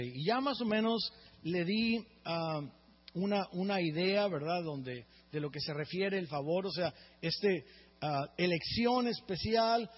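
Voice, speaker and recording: A man preaching a sermon, his voice sitting high and a little husky. He keeps turning to the congregation for agreement as he talks. The sound is dull and lo-fi, with long reverb from a big hall. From Mexico. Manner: earnest preaching, often asks the congregation "right?". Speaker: pastor.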